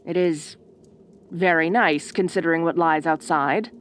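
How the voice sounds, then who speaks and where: A woman playing a haughty, bossy ice queen in an audio roleplay, speaking with imperious, deliberate phrasing. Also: sits low, steady tone, a little hiss or hum. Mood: grudgingly hospitable. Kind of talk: audio roleplay addressed to the listener. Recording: roomy, echoing voice recording.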